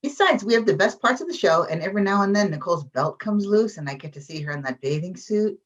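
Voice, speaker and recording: A woman reads a breezy, wisecracking stage-comedy character. The pitch moves around a lot. The sound is slightly distant and muffled. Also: animated, fast talker, natural voice. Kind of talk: musical script table read.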